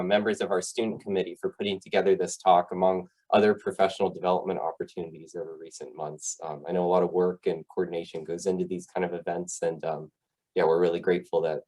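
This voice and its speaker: A man with a fairly low voice gives an informal professional talk online. He speaks politely and conversationally, with a somewhat hesitant delivery, like a psychologist presenting to colleagues. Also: darkish tone, poor audio. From United States, Tennessee.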